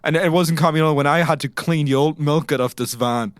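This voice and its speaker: A man's voice: fairly high pitch, a little fast, clean tone, no echo, studio quality, close to an everyday voice. A man playing a put-upon, pedantic complainer in an improvised comedy role-play. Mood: aggrieved. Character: put-upon, grumbling man with old withered leg.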